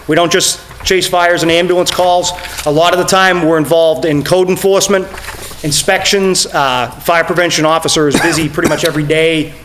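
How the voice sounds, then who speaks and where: A middle-aged man with a slightly higher voice gives a budget presentation to a town committee. He explains things steadily and plainly, filling pauses with "uh". His voice is wearing thin and going hoarse. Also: a little hiss or hum, low-quality recording. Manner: steady explanatory, many "uh" fillers. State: losing his voice. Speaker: fire chief presenting budget. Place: town meeting room.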